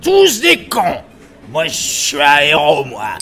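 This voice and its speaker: A man's voice: a little high, huge pitch swings, takes it slowly, heavily acted. A man playing a drunken, washed-up army instructor, boastful, slurred and rambling, in a comic audio drama. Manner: slurred, rambling, bursting into loud indignation.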